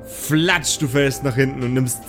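A man's voice: medium pitch, measured pace, a bit bright, faint music behind. A man improvising narration as the game master of a tabletop role-playing audio play.

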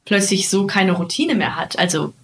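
A young woman hosting a podcast, chatting in a curious, colloquial and lively way. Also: mid-range voice, normal speed. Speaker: podcast host, actress. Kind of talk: casual podcast chat.

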